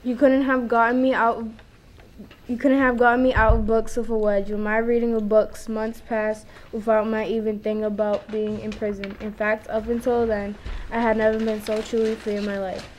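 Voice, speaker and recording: A woman's voice: on the high side, little pitch movement, moderate pace, darkish tone, slight background noise, poor audio. A young teenage girl, a middle school student, giving a dramatic reading aloud. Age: young teen, middle school student. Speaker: student reader.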